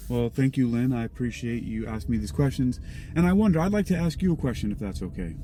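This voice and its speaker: Male podcast guest, casual interview answers, mid-range pitch, loud vehicle noise behind him. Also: lively intonation, fast. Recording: noisy vehicle-like background rumble.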